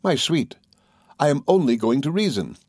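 A man voicing an earnest, loving young husband in an audiobook, reasoning gently and formally. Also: medium pitch, very animated, moderate pace, no room sound, clean studio sound, voice pushed up. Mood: tender coaxing. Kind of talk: audiobook character dialogue.

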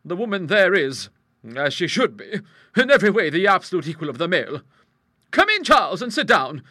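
A man voicing a brisk, outspoken middle-aged English aunt in a storybook, hearty, emphatic and used to commanding. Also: sits high, big pitch swings, bright, forceful tone, dry recording, studio quality, pitched above the performer's own voice, exaggerated voice. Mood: hearty, blunt. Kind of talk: storybook character voice in an audiobook.

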